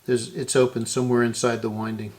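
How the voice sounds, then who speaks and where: A man's voice: medium pitch, level tone, fast, a little mellow. A man giving casual hands-on repair narration, thinking aloud and often correcting himself as he works. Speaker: host of a radio repair channel.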